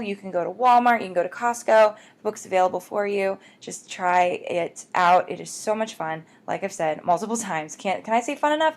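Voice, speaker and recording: A woman hosting a book podcast, talking to listeners in a bubbly, chatty way and calling them guys. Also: mid-range voice. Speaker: podcast host. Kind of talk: upbeat podcast intro and promo.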